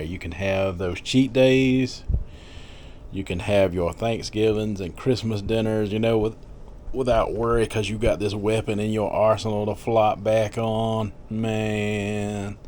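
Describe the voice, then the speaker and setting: A man in his fifties talks casually to his viewers, rambling along with plenty of fillers and soft chuckles. His voice sits a little low. A faint noise runs under the recording. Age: 50s. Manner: chatty, rambling, with frequent fillers and soft laughs. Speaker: vlogger. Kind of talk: casual diet vlog.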